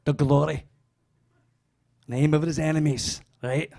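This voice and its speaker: A man performing rowdy medieval-themed stand-up in a put-on Scottish accent, shouting a rally speech at a crowd. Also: on the high side, fairly even intonation, measured pace, creaky. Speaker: comedy performer.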